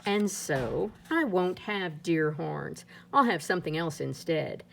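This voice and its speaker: A woman reading a rhyming children's picture book aloud in a playful, lilting way, her pitch swinging up and down. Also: animated, takes it slowly, creaky, slight background noise. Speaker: storybook reader.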